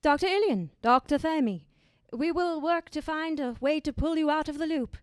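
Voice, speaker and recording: Woman acting bossy determined sci-fi lady leader, radio play, high pitched, no room sound. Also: lively intonation, normal speed, dry recording, studio quality. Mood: urgent resolve. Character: commanding, determined female science-fiction crew leader.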